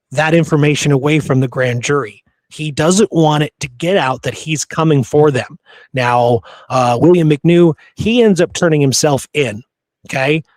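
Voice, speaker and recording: A man's voice: medium pitch, no echo. Casual man telling history, dry close sound. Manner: informal, slangy, says dude and you know. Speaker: history podcast host. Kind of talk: casual conversational history storytelling.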